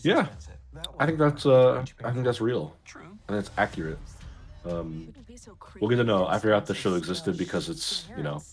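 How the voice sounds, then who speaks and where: A man co-hosting a casual pop culture chat show, with a very low voice. He talks in a laid-back, dry way and often answers in short remarks. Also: animated, normal speed, pauses often. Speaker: co-host.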